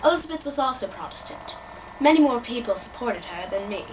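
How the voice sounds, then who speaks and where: A teenage boy voicing an old nurse and a Tudor queen in a high falsetto, with a prim, matter-of-fact manner. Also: animated, brisk. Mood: defensive. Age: teens. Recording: distant mic, noisy room.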